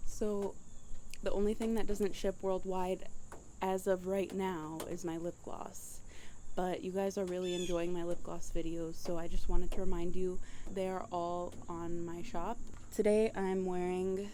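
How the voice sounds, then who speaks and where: Young woman, mellow low-ish voice, chatty and giggly, casual vlog at home, faint hum behind. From United States. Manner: chatty, giggly, rambling with fillers. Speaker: singer and channel host.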